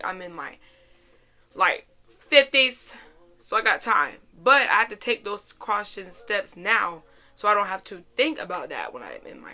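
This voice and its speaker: A young woman hosting a casual, chatty life-update podcast in an informal, slangy way, her voice a little raspy and hoarse. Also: medium pitch, sharp tone. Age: young adult. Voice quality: slightly raspy, worn voice. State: hoarse after a weekend out. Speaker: podcast host.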